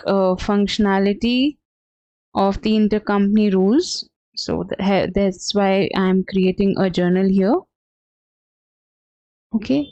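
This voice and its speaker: A woman trainer talks through a software tutorial on a dull, lo-fi recording with very little room sound. Her pitch is average for a woman, and her step-by-step explanation is unscripted and somewhat halting. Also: no echo. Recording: dull, lo-fi, dry close sound.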